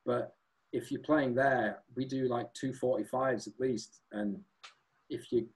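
A man who sings and plays guitar in a band, chatting as a guest in a relaxed, rambling, self-deprecating way. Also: medium pitch, unhurried, low-quality recording. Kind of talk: casual chat.

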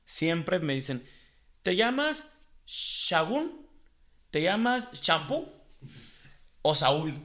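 A young adult man, co-hosting a casual podcast chat, animated and quick to laugh. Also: on the high side. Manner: animated, acts out quoted voices, laughs often. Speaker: podcast co-host.

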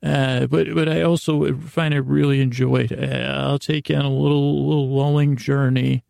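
A man with a heavily gravelly, dark and relaxed voice, recorded close and dry. He hosts a sleep podcast, wandering through halting, repetitive asides in a lulling bedtime ramble. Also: mid-range voice, dry recording. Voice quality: creaky, gravelly. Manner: halting, meandering, repeats and rephrases himself; self-deprecating, gently silly.

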